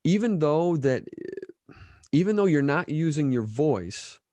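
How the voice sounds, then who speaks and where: American man, lively pitch, very dry sound. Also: a little slow, no echo. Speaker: podcast host. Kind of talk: casual interview chat. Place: small indoor room. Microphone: desk mic up close, headphones.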